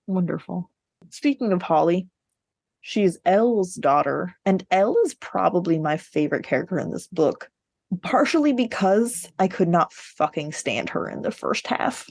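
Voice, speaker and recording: A woman's voice: fairly low pitch, lively intonation, normal speed, darkish tone, no echo, studio quality. A woman co-hosting a podcast, talking casually and bluntly about books with strong opinions. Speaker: podcast co-host. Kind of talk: casual book discussion.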